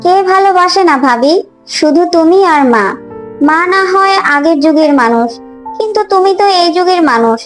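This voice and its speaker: A woman's voice: extremely high pitch, expressive, moderate pace, music in the background. A woman voicing a meek young daughter-in-law with a hurt, submissive temper in a Bengali cartoon. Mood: hurt, pleading.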